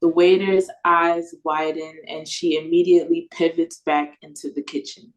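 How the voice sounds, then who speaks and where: A woman's voice: low voice, fairly even intonation, normal speed, rough recording. A woman with an American accent reading stage directions as narrator at an audio play read-through. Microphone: video call.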